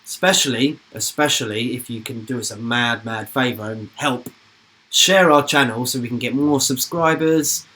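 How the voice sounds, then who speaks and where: Young man, football channel host, chatty live stream, rambling, casual, mid-pitched voice. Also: normal speed, pushed and bright, poor audio. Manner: rambling, informal, swears casually.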